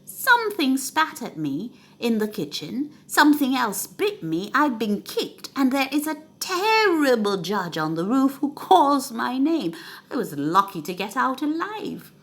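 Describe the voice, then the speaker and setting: A woman's voice: high-pitched, very animated, measured pace, pitched above the performer's own voice, full character voice. A woman voicing a frightened, battered robber in a storybook, gasping and urgent. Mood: terrified. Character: frightened, breathless robber man, battered and dramatic.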